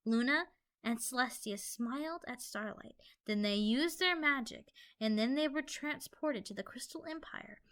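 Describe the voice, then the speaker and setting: A woman's voice: fairly high pitch, a little slow, fairly bright tone. A woman narrating a fan fiction audio play in a storytelling style.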